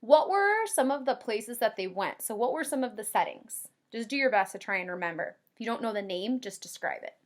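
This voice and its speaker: A woman kindergarten teacher doing an expressive read-aloud for young children, with drawn-out emphasis and simple explanations. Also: fairly high pitch. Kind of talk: animated children's read-aloud with comprehension questions.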